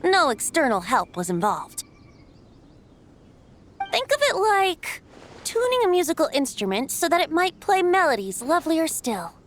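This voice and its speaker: A woman voicing a young male wind god and bard for a video game, gentle and playful, with theatrical, poetic, lilting phrasing. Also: very high voice, big pitch swings, unhurried, long pauses, full character voice. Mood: cheerful. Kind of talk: video game character acting. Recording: music behind the voice.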